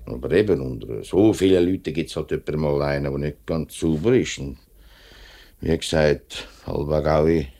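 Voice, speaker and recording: A factory worker in his late thirties with a low, deep voice and a Swiss German accent. He is dutiful and straightforward, speaking in a measured, polite but persistent way. The style is vintage 1950s radio drama. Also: mellow. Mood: polite, firm. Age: late 30s. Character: straightforward, dutiful factory worker and union man, nearly forty. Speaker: actor in a radio play.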